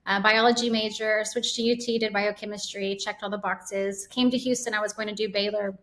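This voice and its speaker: A woman, a podcast guest telling her story in a casual, animated way. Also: mid-range voice, a little fast, rough recording. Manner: animated storytelling with quoted asides. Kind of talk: casual interview chat.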